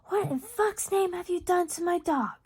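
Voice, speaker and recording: A woman's voice: moderate pace, darkish tone. A woman with Urdu as her first language voicing a furious middle-aged neighbor woman who screams at a boy. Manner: shrill, screaming.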